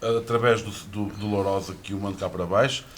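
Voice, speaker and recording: A man's voice: lowish voice, fairly even intonation, quick pace, fairly bright tone. A man on a radio sports panel, teasing another panelist. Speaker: panel commentator.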